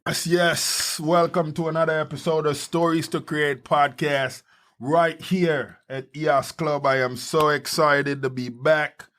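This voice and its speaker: A man hosting a podcast in a studio, talking casually and energetically, often repeating quick words of agreement. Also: on the high side, little pitch movement, a bit bright. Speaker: podcast host. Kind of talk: casual chat.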